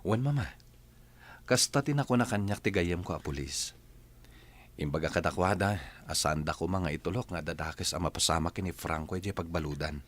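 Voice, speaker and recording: A man acts as a dutiful brother in an Ilocano radio drama. His voice sits very low. He speaks in a measured, respectful way, serious and forgiving. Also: a bit bright.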